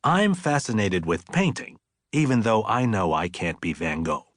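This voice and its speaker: A man voicing an earnest, reflective middle-aged father in an audiobook, musing deliberately and with emphasis. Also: fairly low pitch, big pitch swings, fairly bright tone, no room sound, studio quality. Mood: earnest, philosophical.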